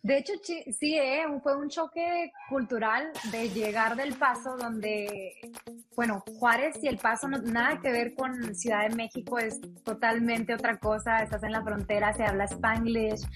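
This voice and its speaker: Young mexican woman, a bit high voice, chatty storyteller, music going behind her. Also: a little slow. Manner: storytelling, animated with quoted voices. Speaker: guest. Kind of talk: casual interview chat.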